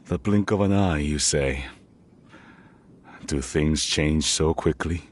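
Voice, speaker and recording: Slow, low-voiced worried father. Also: slight background noise. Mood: wistful. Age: middle-aged. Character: worried middle-aged father, humble and earnest. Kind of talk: video game voice acting.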